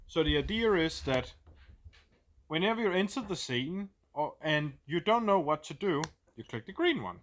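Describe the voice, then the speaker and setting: A man's voice: a little high, big pitch swings, moderate pace, a bit bright. A man with a Danish accent, a game designer casually explaining a new roleplay setup while thinking aloud and searching for words.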